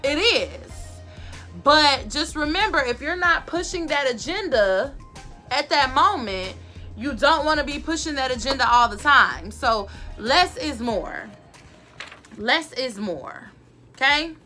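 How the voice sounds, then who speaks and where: A sassy woman vlogger, talking straight to the camera from her bedroom. Her voice is loud, bright and a little high, and she hammers her points home by repeating them. Faint music plays underneath. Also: expressive, takes it slowly. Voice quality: loud voice that carries. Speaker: vlogger giving advice. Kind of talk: casual advice talk to camera. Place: bedroom, indoors.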